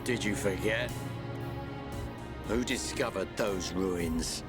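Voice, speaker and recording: A man's voice: low-pitched, takes it slowly, over loud music. A man voicing a mocking, callous traitor in a video game, sneering and taunting. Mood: mocking, gloating. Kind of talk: video game cutscene acting.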